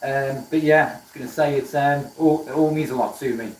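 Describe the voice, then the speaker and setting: A man's voice: mid-range voice, fairly even intonation, fairly quick, darkish tone, recorded from a distance. A man from South Wales chats easily with his viewers as he works, greeting them by name and often trailing off into fillers. His voice comes from a little way off the mic and has a roomy workshop echo around it. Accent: South Welsh. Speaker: woodturning livestream host. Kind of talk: casual live chat while working. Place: workshop with a running lathe.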